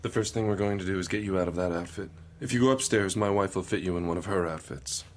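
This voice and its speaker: Deep-voiced actor as a brisk safe-house keeper. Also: male voice, slight background noise, natural voice. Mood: brisk, firm. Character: brisk, practical married man running a safe house. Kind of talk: audio drama acting.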